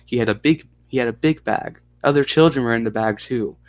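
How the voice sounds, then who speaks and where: A man voicing a frightened seven-year-old Russian girl who speaks halting broken English in a Russian accent. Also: mid-range voice, dry recording, natural voice. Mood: frightened, haunted. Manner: halting, simple broken sentences.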